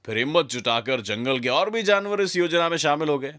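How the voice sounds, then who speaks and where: A man's voice: a little high, brisk, fairly bright tone, no echo, studio quality. A man narrating a scripted children's story in a dramatic, direct way as a storyteller.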